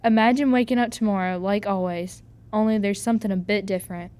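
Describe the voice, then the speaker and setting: A woman's voice: medium pitch, steady tone, quick pace, a little mellow, clean tone, close to an everyday voice. A woman playing a plucky fourteen-year-old Irish servant girl in a radio drama, earnest, deferential and folksy. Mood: coaxing storyteller.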